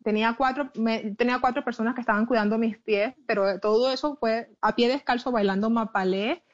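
A woman from Colombia with a Caribbean coastal accent, a plus-size model being interviewed, telling her story with animated restarts and English words mixed in. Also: fairly high pitch, a little fast, lo-fi sound. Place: her home in the Netherlands.

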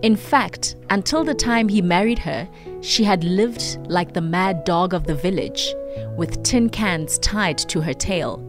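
A woman's voice: fairly low pitch, animated, unhurried, over music. A woman co-hosting a morning radio show, chatting casually and then reading a book passage aloud in a radio studio.

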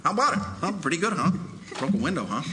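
Man acting excitable young dreamer in an echoey hall. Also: fairly high pitch, quick pace. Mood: teasing, eager. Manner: excitable, boastful. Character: George Bailey, eager dreamy young man courting a girl. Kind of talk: old-time radio play acting. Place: theater stage, live radio play. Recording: echoey stage hall recording with noise.

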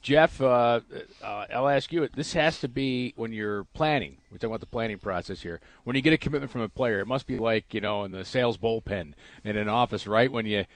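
A man's voice: mid-range voice, fairly quick, few pauses, no echo. Chatty male host, dry sound. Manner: chatty, jokey, asks long rambling questions. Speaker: radio co-host. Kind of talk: casual interview chat.

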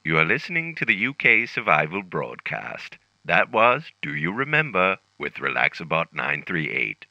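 An actor playing a solemn announcer on a dystopian survival broadcast, in formal radio style. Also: male voice, low-pitched, huge pitch swings, moderate pace, few pauses, sharp tone, dry recording, highs cut off, exaggerated voice. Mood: grave, official.

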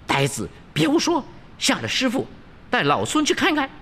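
A man voicing a brash, cocky, quick-tempered monkey warrior in an excitable, defiant storybook manner. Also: very high-pitched, breathy edge, big-room sound, voice pushed up, full character voice.

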